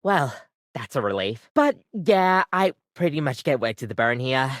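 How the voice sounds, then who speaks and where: A woman's voice: rumbling low, big pitch swings, normal speed, no echo, studio quality. A woman voicing an overworked, apologetic barista in a video game, chatty, rambling and self-deprecating, with a weary devotion to the job. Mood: rambling, resigned. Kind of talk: video game character acting, conversational.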